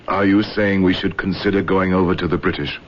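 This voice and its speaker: Actor as a very deep-voiced, brooding general. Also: male voice, level tone, a little fast, natural voice. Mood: brooding, tempted. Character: brooding, embittered older general, devoted husband. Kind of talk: old-time radio drama acting. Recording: noisy, dull vintage radio recording.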